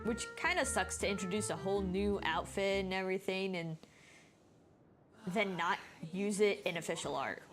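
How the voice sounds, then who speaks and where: A woman's voice: mid-range voice, normal speed, faint music behind. A woman streaming a game, giving casual live commentary and thinking aloud in a chatty way.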